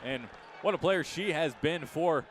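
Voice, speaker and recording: A man doing live radio basketball play-by-play, projecting excited, rapid-fire calls. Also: a little high. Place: courtside in a basketball arena. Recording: loud arena crowd noise behind. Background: crowd, bouncing ball.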